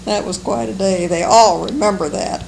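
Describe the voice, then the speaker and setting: Elderly American woman, creaky voice, noisy recording. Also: medium pitch, a little mellow. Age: older, seventies or above. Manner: unhurried, halting, fond recollection. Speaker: interviewee, longtime local resident. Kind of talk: oral history reminiscence. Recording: noisy amateur oral history recording.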